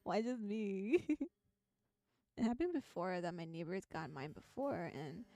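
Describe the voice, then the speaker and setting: A young adult woman streaming in casual chat, with a quiet but clear voice, laughing often between her sentences. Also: medium pitch, expressive, a little slow. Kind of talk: casual chat and reading a visual novel aloud.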